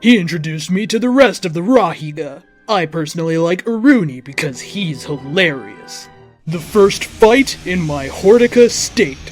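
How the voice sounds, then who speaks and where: A man reading fan fiction aloud as an expressive, theatrical narrator. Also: fairly high pitch, normal speed, faint music behind. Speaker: story reader.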